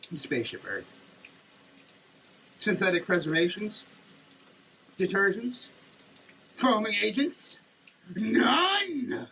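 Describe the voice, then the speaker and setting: A man giving a comedic dramatic reading of a product label, theatrical and over-emphatic, with halting delivery. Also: fairly high pitch, pushed and bright, a little hiss or hum, rough recording. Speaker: comedic performer.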